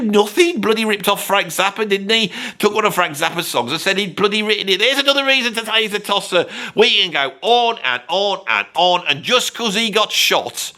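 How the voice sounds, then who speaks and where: A man's voice: sits high, pushed and bright. A man voicing a blunt, opinionated bloke with a Birmingham accent, ranting and swearing in a comic monologue.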